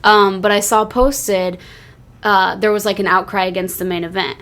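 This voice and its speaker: A woman with an average-pitched voice chats as a podcast host. She sounds earnest and conversational, often restarting and repeating phrases as she works through a point. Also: fairly even intonation, normal speed, fairly bright tone. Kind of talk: casual wrestling discussion.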